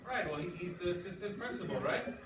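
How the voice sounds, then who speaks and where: A man with a slightly high voice teaches a class, thinking aloud and checking understanding as he goes. He sounds a little far from the mic in a very echoey room, with clear background noise. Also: animated, fast talker. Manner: thinking aloud, often checking with "okay" and "right". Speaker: English teacher. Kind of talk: classroom lesson. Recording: distant, echoey, noisy classroom recording.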